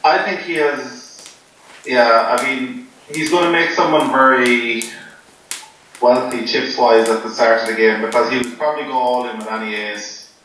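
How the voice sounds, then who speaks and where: A man chats casually in a deadpan, jokey way, wandering through his points with plenty of ums. He sounds far from the microphone in a very echoey room, with faint noise behind him. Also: mid-range voice, moderate pace. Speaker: poker player being interviewed. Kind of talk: joking casual chat.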